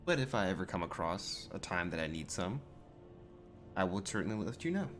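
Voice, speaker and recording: A man's voice: low voice, very animated, a little fast, a bit bright. A man role-playing his character in a video game, improvising casual, polite commentary as he plays. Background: game music.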